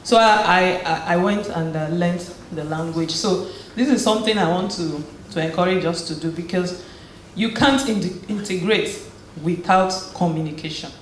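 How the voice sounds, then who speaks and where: A woman's voice: lowish voice, moderate pace, few pauses. Woman speaking in an echoey hall. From Nigeria. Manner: conversational storytelling with frequent restarts. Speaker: guest speaker at a community event. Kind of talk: personal testimony and encouragement. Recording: very echoey hall with faint noise.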